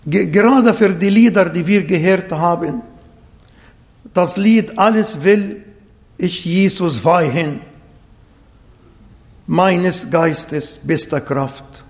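A man with an Arabic accent preaching a sermon and praying, slow and emphatic, repeating phrases to his congregation. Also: high-pitched, long reverb tail. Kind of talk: sermon with prayer. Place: church hall, livestreamed service.

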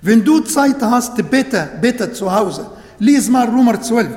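A man preaching in German that is not his native tongue, with a fairly high voice and a slow, deliberate delivery. He repeats phrases and restarts sentences to drive each point home. The recording rings with long reverb, like a large church hall. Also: lively intonation, darkish tone. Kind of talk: sermon.

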